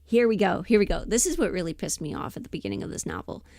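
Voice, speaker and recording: A woman chatting casually and emphatically on a livestream, rambling in an animated, opinionated way. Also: fairly low pitch, fast talker, creaky, no echo, clean studio sound. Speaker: livestream host and voice performer.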